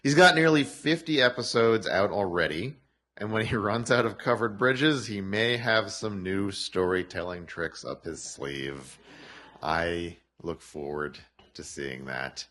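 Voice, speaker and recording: A man's voice: lowish voice, a little slow, pushed and bright. A man emceeing an awards night, upbeat and loose, ad-libbing with lots of fillers. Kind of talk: event emceeing. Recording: faint crowd noise behind.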